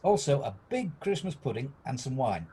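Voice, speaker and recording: An actor playing an elderly reformed miser, excitable and flustered, newly generous, in an amateur radio play. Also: male voice, mid-range voice, normal speed, breathy edge. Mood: brisk, delighted. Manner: exclamatory, flustered, eager.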